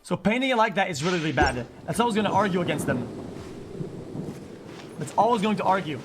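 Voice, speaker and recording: A man with a high voice rants casually to his stream. He is argumentative, hammers the same point again and again and swears. Music plays clearly behind him. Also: lively intonation, fast talker. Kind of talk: heated casual rant.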